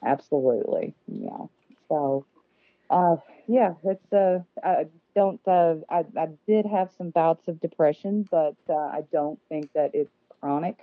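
A woman's voice: low-pitched, unhurried, no room sound. A woman who is a guest on a casual podcast, speaking in a nervous, self-deprecating way with many fillers, heard over a remote call line. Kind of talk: casual interview conversation. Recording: dry, phone-like remote call audio.

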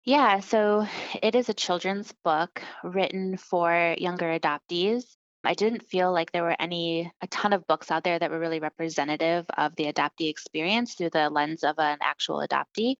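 Woman with a Midwestern American accent, lower pitch, earnest author guest, dull dry recording. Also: normal speed, dry recording. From Chile. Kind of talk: interview answers.

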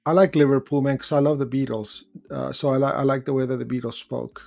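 A man's voice: mid-range voice, fairly even intonation, fast talker, vocal fry, darkish tone. A man hosting a live call-in show, chatting casually, rambling as he searches for words and reads out viewer comments. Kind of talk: casual live-stream chat.

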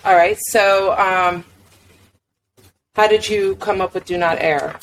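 A woman's voice: medium pitch, steady tone, slow pace, a little hiss or hum, poor audio. A woman hosting a music podcast, chatty and upbeat as she interviews a guest. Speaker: podcast host.

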